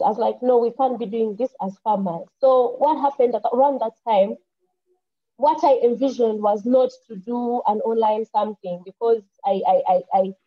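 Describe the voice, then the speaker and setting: Earnest young Ugandan woman, muffled mic. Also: fairly high pitch. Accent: Ugandan English. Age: young adult. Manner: earnest, restarts often, quotes her own thoughts. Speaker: panelist, engineer and farmer. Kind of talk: casual personal account on an online panel. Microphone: computer mic on a video call.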